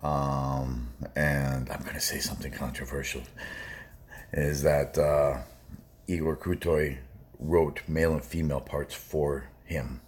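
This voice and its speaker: Very deep-voiced man rambling casually. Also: little pitch movement, slow pace. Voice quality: low baritone voice. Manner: rambling and emphatic, many fillers. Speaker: music reaction video host. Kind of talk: casual reaction commentary.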